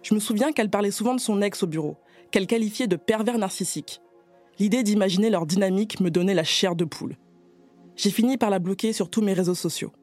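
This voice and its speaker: A woman journalist narrates in the first person from a script, calm and personal. Her tone is mellow and unforced, at a middle pitch. Faint music runs underneath. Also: no room sound, clean studio sound.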